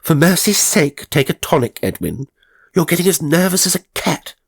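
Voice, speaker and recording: A man voicing an irritable, brisk spinster in a horror story, hectoring and matter-of-fact. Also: fairly high pitch, very animated, gravelly, husky, no echo, studio quality, voice pushed up, exaggerated voice. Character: brisk, irritable, level-headed middle-aged English spinster sister.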